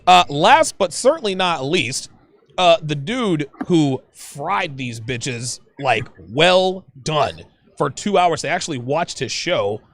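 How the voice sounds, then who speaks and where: Animated high-voiced male podcast host. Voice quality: high-pitched voice. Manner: animated, joking, quick to laugh. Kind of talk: casual panel chat.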